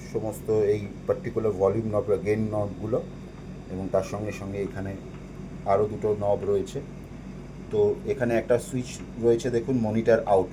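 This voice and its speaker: A man's voice: on the low side, noisy background. A man with a Bengali accent giving an informal step-by-step equipment walkthrough, explaining and mixing in English technical terms. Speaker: presenter demonstrating audio equipment.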